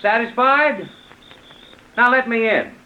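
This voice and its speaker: High-pitched, imperious radio-drama brother. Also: male voice, animated, slow, exaggerated voice. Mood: cool, imperious. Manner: curt, imperious. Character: cold, commanding, mocking long-lost brother. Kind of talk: 1940s radio acting. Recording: dull, noisy 1940s radio recording.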